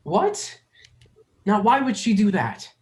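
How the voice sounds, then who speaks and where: Actor as gentle awkward adult, mid voice, kinda hesitant, earnest, reading a drama script. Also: medium pitch, very animated, takes it slowly. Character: gentle, awkward adult trying to befriend a child.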